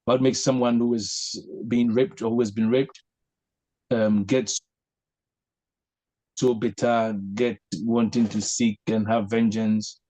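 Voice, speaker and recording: A man's voice: medium pitch, flat delivery, mellow, low-quality recording. Earnest man asking searching questions. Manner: earnest, posing long searching questions with many pauses. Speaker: host leading a discussion. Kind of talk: reflective spiritual discussion.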